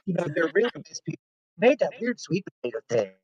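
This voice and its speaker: A man's voice: sits high, very animated, quick pace, slightly rough, dry recording, lo-fi sound. A man hosting a casual chat show in a playful, joking manner. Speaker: host.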